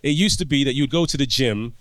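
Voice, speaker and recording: A man, a doctor guest on a podcast, explaining his work through anecdotes in relaxed conversation and often restarting his phrases. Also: medium pitch, fast, no room sound.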